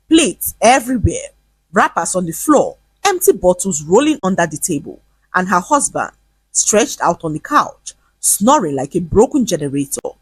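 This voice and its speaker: Husky, lively woman storyteller. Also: mid-range voice, dry recording. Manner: lively, comic, expressive. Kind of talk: humorous moral story narration.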